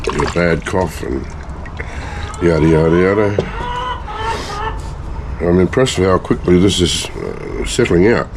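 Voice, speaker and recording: A man with a hoarse, failing voice from a bad cough, casually talking viewers through his home refining work in a chatty, rambling way. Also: low voice, expressive, a little slow, pauses often, background noise. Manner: chatty, rambling, self-mocking asides. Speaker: hobbyist gold refiner and video maker. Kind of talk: casual talk-through of a lab process.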